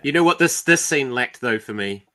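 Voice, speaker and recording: A man's voice: mid-range voice, animated, moderate pace, sharp tone. A man speaking as a panelist in a casual discussion among fans.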